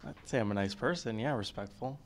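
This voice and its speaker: Young man, mid pitch, earnest, laid-back, hallway. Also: quick pace, a crowd in the background. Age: young adult. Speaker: student interviewee.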